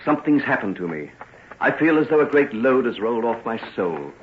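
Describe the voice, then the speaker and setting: An actor playing a troubled Victorian gentleman in an old-time radio drama. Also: mid-range voice, sing-song, a little fast, natural voice. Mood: relieved, lighthearted. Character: troubled gentleman, relieved then frantic, in Victorian London. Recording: dull, hissy old radio recording.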